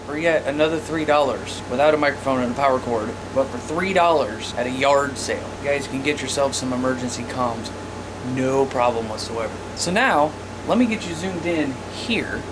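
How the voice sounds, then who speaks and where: A man's voice: mid-range voice, moderate pace, background noise. An American man giving a casual, plain-spoken hands-on gear demonstration as an informal presenter. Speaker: radio hobbyist presenter.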